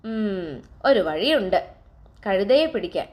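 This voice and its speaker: A woman voicing a hungry, cunning male lion in a children's storybook tale, speaking in a gruff, scheming way. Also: medium pitch, big pitch swings, slow, darkish tone, pitched below the performer's own voice. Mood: plotting.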